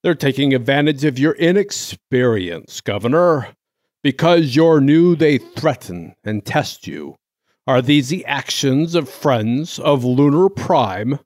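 A man's voice: medium pitch, animated, slow, darkish tone, no echo, clean studio sound. A man playing a stern old cardinal in an audio drama, grave, suspicious and zealous, with a sermonizing manner. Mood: accusing.

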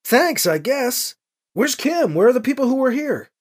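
A man's voice: extremely high pitch, sing-song, normal speed, pitched above the performer's own voice, exaggerated voice. A man voicing a sarcastic, bluffing male priest in an audiobook, with a cocky, quipping delivery. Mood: demanding. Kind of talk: audiobook character acting.

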